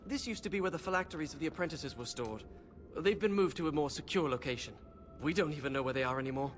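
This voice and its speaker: Man playing an excitable high-voiced young mage. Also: fast talker, faint music behind, natural voice. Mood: earnest explaining. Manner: excitable, bookish explaining. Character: earnest young male mage scholar, excitable and fussy. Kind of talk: fantasy video game voice acting.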